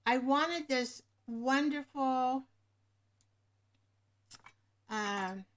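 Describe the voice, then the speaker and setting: Slow-talking woman hosting a craft livestream. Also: high-pitched. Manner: rambling, searches for words. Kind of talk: casual livestream chat. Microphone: webcam microphone above the screen.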